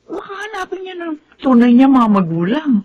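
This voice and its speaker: An actress playing an anxious, hesitant wife in a Filipino radio drama. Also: female voice, high-pitched, sing-song, normal speed, narrow, tinny audio. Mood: worried, doubtful. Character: anxious, hesitant wife unsure about raising a child.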